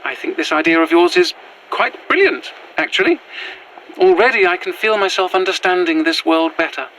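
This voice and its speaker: Audio drama: revived Victorian lady, formal antique diction, bright voice, noisy field recording. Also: lowish voice, expressive, normal speed. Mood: earnest, composed. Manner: formal, old-fashioned, prim diction. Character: prim Victorian widow, a revived spirit in another's body. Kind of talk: found-footage audio drama monologue.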